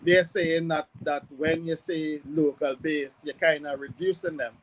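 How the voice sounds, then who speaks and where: A man giving conversational sports analysis as a guest, hesitant and measured as he thinks aloud. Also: on the high side, steady tone, poor audio. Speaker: football pundit guest.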